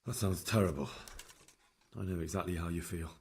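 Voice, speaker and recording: A man playing a determined, plain-spoken young medieval investigator in a game cutscene, direct and matter-of-fact, with a husky voice. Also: deep voice, brisk. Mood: sympathetic, reserved. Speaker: video game protagonist, investigator. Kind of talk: dramatic game cutscene acting.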